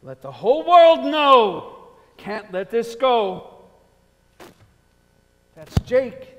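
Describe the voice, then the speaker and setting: A man's voice: very high voice, sing-song, very slow, a bit bright, exaggerated voice. A man playing a vengeful, boastful street villain in a staged musical drama, menacing and declamatory. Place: theater stage at a staged reading. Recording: echoey concert hall, faint music.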